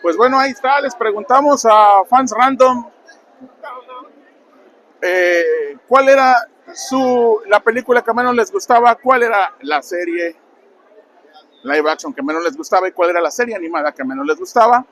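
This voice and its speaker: A man with a Mexican accent asks fans quick questions in a busy convention hall, his voice sitting a bit high. He talks in a friendly way, often stumbling and restarting his sentences. A faint hum of the crowded hall stays behind him. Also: expressive, a bit bright. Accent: Mexican Spanish. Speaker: interviewer and channel host. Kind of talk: casual fan interviews at a convention.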